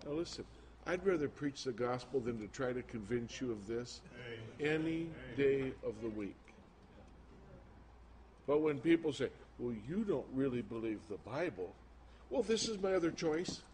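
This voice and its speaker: A man's voice: medium pitch, lively intonation, moderate pace, a little mellow. A man preaching informally to a congregation, colloquial and emphatic with incredulous asides, recorded live. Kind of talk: informal sermon with show-and-tell to a congregation. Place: live talk before an audience. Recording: noisy live recording with room ambience.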